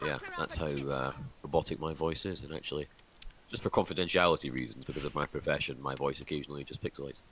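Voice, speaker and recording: Deep deep guy on a call, quick dry jokes, bit of hiss. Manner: dry, deadpan joking. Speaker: stream co-host. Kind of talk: casual chat.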